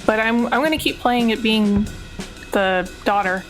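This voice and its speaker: A woman's voice: on the high side, a little slow, faint music behind. A woman playing a tabletop game, chatting informally and thinking aloud with a wry manner. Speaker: player in a tabletop role-playing podcast. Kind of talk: casual game chat.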